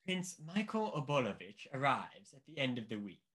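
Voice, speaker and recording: An actor playing a pompous, condescending British government official in a radio play, speaking formally and emphatically. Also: male voice, mid-range voice, big pitch swings, moderate pace, a bit bright. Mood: insistent.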